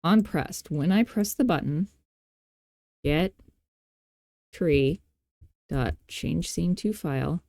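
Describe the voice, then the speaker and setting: A woman with a fairly low, mellow voice talks through a screen-recorded tutorial. She is informal and playful, thinking aloud with self-deprecating asides. The sound is very dry and close. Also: lively intonation, takes it slowly, vocal fry, long pauses, dry recording. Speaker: tutorial host.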